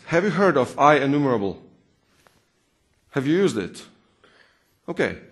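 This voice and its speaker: A man from Croatia with a Croatian accent presents live to a technical audience, informally, often posing questions and repeating words for emphasis. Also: a little high. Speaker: conference presenter, software consultant and trainer.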